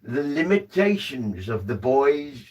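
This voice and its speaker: A man's voice: medium pitch, very animated, slow, recorded from a distance, muffled recording. A man in a trance voicing a formal, old-fashioned male spirit guide who speaks through him at a séance. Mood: amused. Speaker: trance medium. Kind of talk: seance trance communication.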